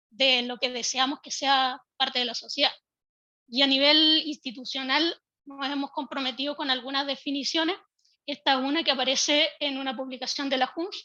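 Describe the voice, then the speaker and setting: Woman, high bright voice, measured lecture delivery, dry lo-fi audio; panel speaker. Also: no room sound. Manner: measured, explanatory, occasional restarts. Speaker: speaker from a public early-education institution. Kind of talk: online panel talk.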